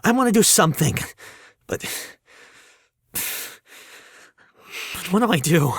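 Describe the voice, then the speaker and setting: Teen boy hero, audio drama female actor: reluctant, nervy, very expressive low-ish youthful voice, close dry recording. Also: slow, breathy edge, dry recording, exaggerated voice. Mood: frightened. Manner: hesitant, exasperated.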